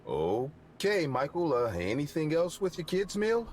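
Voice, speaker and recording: A man voicing a nervous, polite young fast-food worker who stammers and speaks overly formally. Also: a little high, sing-song, slight background noise, pitched above the performer's own voice.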